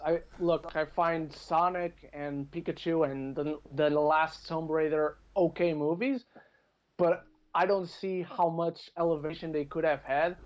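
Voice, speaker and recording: A man with a somewhat high-pitched voice gives his opinions as a podcast guest. He is conversational and emphatic. The audio is a little dull and lo-fi. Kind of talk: casual, opinionated film discussion.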